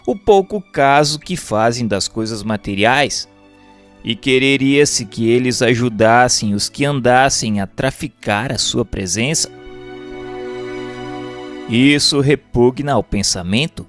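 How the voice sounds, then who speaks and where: A man with a Brazilian accent reads a scripted book chapter as narrator, in a formal, measured manner. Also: medium pitch. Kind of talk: scripted narration of a spiritual treatise. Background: background music.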